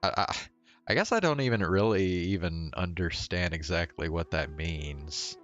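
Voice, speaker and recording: A man's voice: sits low, expressive, normal speed, a bit bright, no echo, lo-fi sound. A man chatting casually on a game livestream, rambling and hesitant as he thinks aloud, with game music behind him. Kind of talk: casual chat while playing a game.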